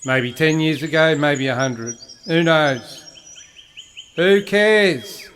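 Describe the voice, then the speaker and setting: A man's voice: a little high, takes it slowly, fairly bright tone, long reverb tail, a little hiss or hum. An actor playing a hard, bitter father in a radio play, speaking in harsh, menacing, clipped phrases. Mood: harsh, dismissive. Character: hard, bitter settler father guarding a secret. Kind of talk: intense radio play acting.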